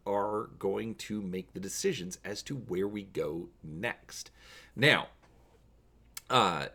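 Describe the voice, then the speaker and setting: A man's voice: lowish voice, fairly bright tone, slightly rough. A man hosting a story read-along, addressing viewers directly in a casual, emphatic way and reading narration aloud. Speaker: host reading a choose-your-own-adventure story.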